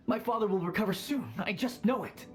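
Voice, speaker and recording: An actor playing an earnest, credulous believer and devoted son in a video game, with an eager, persuasive manner. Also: male voice, high voice. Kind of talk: video game character dialogue.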